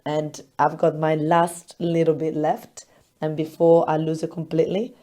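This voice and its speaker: A woman hosting a business podcast in a casual, chatty way, her voice hoarse and crackly from illness, often laughing as she talks. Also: deep voice. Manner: chatty and expressive, laughs often, animated retellings. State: hoarse, recovering lost voice. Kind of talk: casual solo podcast talk.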